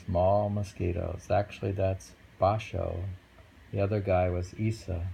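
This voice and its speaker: A man reading short poems aloud and chatting about them casually, musing and pausing over the lines. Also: sits low, flat delivery, gravelly, mellow, a little hiss or hum. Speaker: poetry reader and host. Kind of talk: casual poetry reading and chat.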